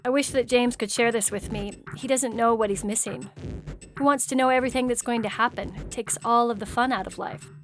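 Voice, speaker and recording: A woman's voice: fairly high pitch, fairly even intonation, fairly quick, soft background music, lightly acted. A woman acting a defiant, adventurous young woman striking out alone in an audio story. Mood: wistful. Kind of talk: audio fiction dramatic reading.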